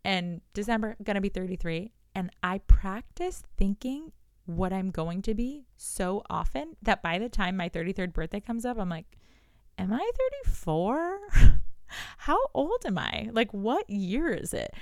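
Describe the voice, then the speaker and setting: A woman in her thirties, a business coach, talking straight to her listeners in a solo podcast and checking in with quick rhetorical questions. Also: mid-range voice, dry recording, studio quality. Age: 30s. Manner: animated, talks to listener directly with rhetorical questions.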